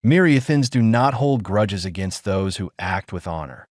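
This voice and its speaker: A man's voice: fairly low pitch, expressive, normal speed, darkish tone, dry recording, clean studio sound, natural voice. A man voicing a composed alien woman diplomat who speaks formally, without contractions.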